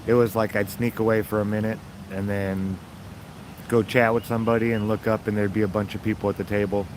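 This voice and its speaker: A man with a raspy voice, casually chatting to his viewers in a rambling, upbeat way. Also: on the low side, little pitch movement, fairly quick, darkish tone, slight background noise. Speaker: vlogger.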